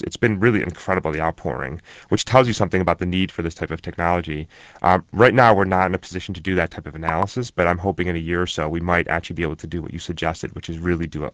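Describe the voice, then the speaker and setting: A man answering interview questions in a relaxed, thoughtful way, with frequent ums and restarts. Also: low-pitched, no room sound. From born in Germany to Egyptian parents. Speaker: interview guest, researcher.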